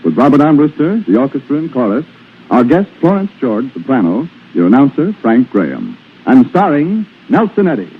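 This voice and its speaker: A man's voice: mid-range voice, wildly swooping pitch, moderate pace, background noise. An American man announcing on a 1940s radio program in a scripted presenter's style. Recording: dull 1940s radio broadcast recording.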